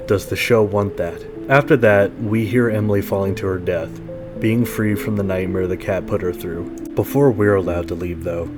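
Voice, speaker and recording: Low-voiced man recapping over music. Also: fairly even intonation, normal speed. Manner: informal, wry asides. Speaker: podcast host and reviewer. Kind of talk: scripted story recap with casual commentary.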